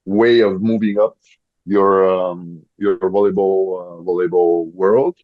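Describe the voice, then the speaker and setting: A man in his thirties with a Bulgarian accent, a podcast guest speaking English as a second language and often pausing to search for words. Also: low voice, darkish tone, no echo, highs cut off. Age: 30s. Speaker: guest, volleyball player. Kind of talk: podcast interview.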